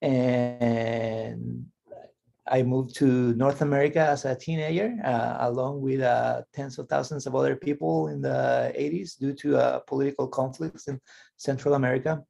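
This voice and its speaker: A man from Guatemala introduces himself to the panel with hesitant, modest phrasing. His voice has a strong gravelly fry, and he speaks over a video call. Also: mid-range voice, steady tone, moderate pace, warm tone, muffled recording. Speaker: academic panelist. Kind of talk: spoken self-introduction.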